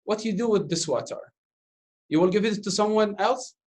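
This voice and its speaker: A man in his thirties, a native Arabic speaker from Iraq, answering interview questions in halting English full of fillers and restarts. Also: high-pitched. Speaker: interview guest, doctoral student. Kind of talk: casual Q&A talk.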